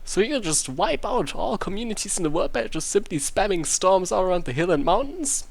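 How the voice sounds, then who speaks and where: A man's voice: high-pitched, sing-song, brisk, vocal fry, voice pushed up, exaggerated voice. A man voicing a sarcastic, skeptical story character who challenges a villain in a mock-dramatic way. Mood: incredulous.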